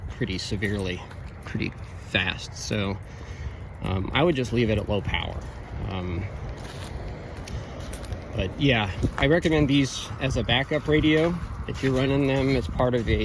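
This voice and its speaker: A man giving an informal, unscripted technical review, explaining things in a halting way. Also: lowish voice, noisy background. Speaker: radio equipment reviewer.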